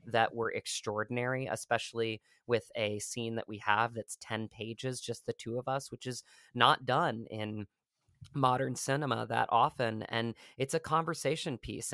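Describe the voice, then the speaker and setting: A man answering as an actor guest in an interview, speaking earnestly and effusively with thoughtful pauses. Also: medium pitch, a bit bright, dry recording, clean studio sound. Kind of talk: reflective conversational interview answers.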